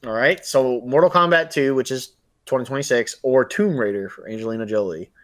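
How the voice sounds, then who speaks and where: A man's voice: medium pitch, a little fast. A man co-hosting a podcast, talking in a casual, conversational style. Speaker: podcast co-host. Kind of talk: casual chat, running a movie bracket game.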